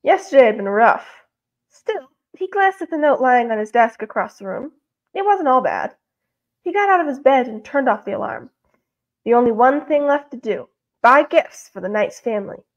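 High-pitched, animated woman reading a story. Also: moderate pace, dull audio. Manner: expressive, acts out the dialogue. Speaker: host reading a story aloud to students.

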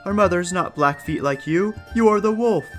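A man's voice: high-pitched, fairly quick, fairly bright tone, voice pushed up. A man voicing young, wary little goat children in an emphatic storybook delivery, over music. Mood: defiant.

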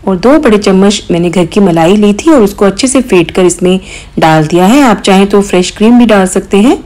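A woman hosting a cooking channel, calmly explaining each step of a recipe in voice-over, with occasional small hesitations. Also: mid-range voice, a little fast. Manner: calm step-by-step instruction with mild hesitations.

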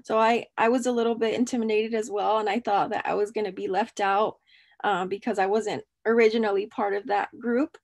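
Gravelly native Spanish-speaking woman on a call. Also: medium pitch, fairly even intonation, fairly quick, darkish tone, no room sound, clean studio sound. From United States. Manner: casual, friendly, "um" fillers. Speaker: student panelist. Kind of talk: video call panel answer. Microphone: video call.

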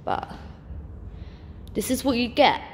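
A woman's voice: on the high side, sing-song, slow pace, slight background noise. A young woman, a personal trainer, chatting casually as she films a walkthrough of a large indoor gym on a phone held at arm's length, upbeat and full of ums and restarts. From England. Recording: reverberant gym hall with faint ambience.

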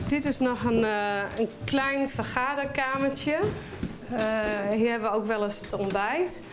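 A middle-aged woman, a hotel owner, answering interview questions casually in a hesitant, halting way. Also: on the high side, over music. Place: indoors in a hotel bar and dining room.

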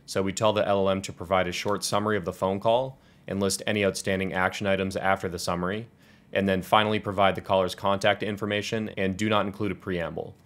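A man in his twenties with an American accent presenting a software tutorial in an explanatory, step-by-step way. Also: sits low. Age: 20s. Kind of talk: scripted software tutorial walkthrough.

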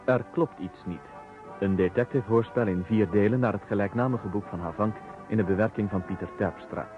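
A man's voice: on the low side, fairly quick, music in the background. A man reading a formal scripted announcement as a radio drama announcer. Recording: dull old radio broadcast recording.

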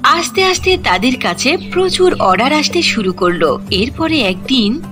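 A woman's voice: high voice, sing-song, moderate pace, a bit bright. A woman narrating a scripted fairy-tale cartoon as its storyteller. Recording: music behind the voice.

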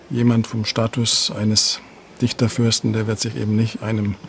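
A man speaking as an expert interviewee, pausing often with fillers as he works through his points. His voice is gravelly and flat in pitch, with faint room noise underneath. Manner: hesitant, with frequent fillers. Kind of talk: interview answer.

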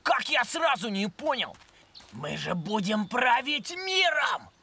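A man playing a brash, bossy little schoolboy pirate in a cartoon, excitable and blunt. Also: very high voice, slow, slight background noise, exaggerated voice. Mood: excited. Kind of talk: cartoon voice acting.

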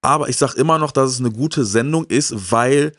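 A middle-aged man with a medium-pitched voice talks casually into a close handheld mic. The sound is dry. He is enthusiastic and slangy, often repeating words as he searches for the next one. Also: normal speed, no room sound. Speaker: video show host. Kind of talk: casual chat about retro cartoons. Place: small indoor room with shelves of films and games. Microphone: handheld microphone held close.

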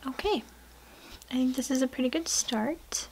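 A young woman soft-speaking in an ASMR roleplay, gentle and hushed, sometimes slipping into a whisper, close to the mic. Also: high-pitched, moderate pace, slightly rough, low-quality recording. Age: young adult. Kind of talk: soft-spoken ASMR personal attention roleplay. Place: small wood-paneled room indoors.

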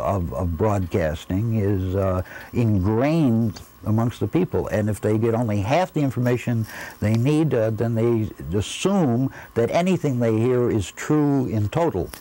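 An older man reflecting in an interview, with formal, measured wording and hesitations. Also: fairly low pitch, dark tone, low-quality recording. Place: indoor interview room. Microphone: lapel.

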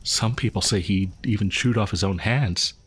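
A man's voice: on the low side, normal speed, fairly bright tone, breathy edge. A man playing a friendly, awkward young local who shares grim rumors in a hesitant, rambling, conversational way for an audio horror roleplay. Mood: casual, gossipy. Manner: hesitant, rambling, nervous chuckles.

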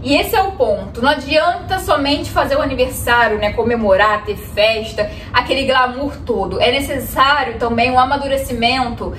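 A young woman in her twenties speaks in a high, bright voice, earnestly talking to the camera and laughing softly now and then. She stands a few steps back from the mic, so her voice picks up an echoey room with faint background hiss. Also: moderate pace, few pauses, far from the mic. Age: 20s. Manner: earnest, gestures constantly, often laughs softly. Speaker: vlogger. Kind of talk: reflective talk to camera. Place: room in front of a bookshelf, indoors. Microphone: camera a few steps away.

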